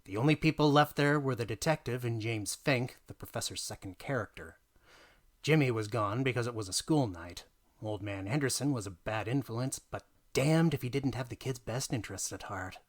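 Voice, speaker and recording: A man reading a tall tale as dramatic narration, emphatic and theatrical, swinging between hushed asides and punched lines. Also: medium pitch, normal speed. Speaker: reader of a tabletop gaming tale.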